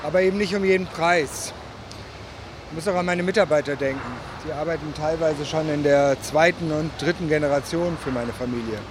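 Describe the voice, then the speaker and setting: Conscientious business owner played by a man, higher register, conciliatory, radio drama with outdoor noise. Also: fairly even intonation, a little fast, natural voice. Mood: cautious, conciliatory. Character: cautious family business owner, conscientious towards his workers. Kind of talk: naturalistic radio drama acting.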